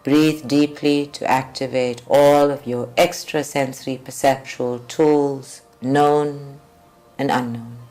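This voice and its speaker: A woman leads a guided group meditation with deliberate, measured phrasing and long pauses. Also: rumbling low, fairly even intonation, dark tone. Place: group meditation at a creativity centre. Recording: live recording with music behind. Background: faint music.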